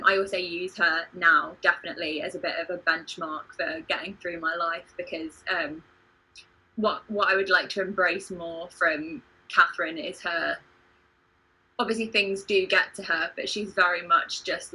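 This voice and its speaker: A woman's voice: fairly low pitch, fairly even intonation, moderate pace, pushed and bright, slightly rough, low-quality recording. A woman chatting casually as a host on a livestream, earnest and hesitant, sometimes poking fun at herself. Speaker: playwright and podcast host. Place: home video call.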